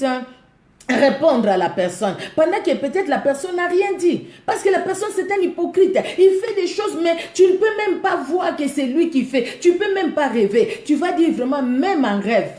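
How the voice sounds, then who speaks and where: A woman's voice: high-pitched, expressive, normal speed, warm tone. Congolese woman preaching in an echoey room. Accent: Congolese French. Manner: emphatic, repeats key words, laughs often. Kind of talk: sermon.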